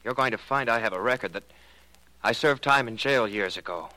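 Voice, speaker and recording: An actor playing a blunt, defensive fiancé in a 1940s radio drama, speaking in a direct, insistent, plainspoken way. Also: male voice, mid-range voice, fairly quick, pushed and bright, no echo, close to an everyday voice, poor audio. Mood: defensive, challenging.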